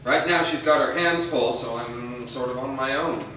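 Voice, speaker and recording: A man's voice: mid-range voice, moderate pace, pushed and bright, natural voice. A man playing a weary, remorseful aging father in a staged reading of a drama. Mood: weary, confessional. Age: older. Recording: echoey room, distant mic, faint noise.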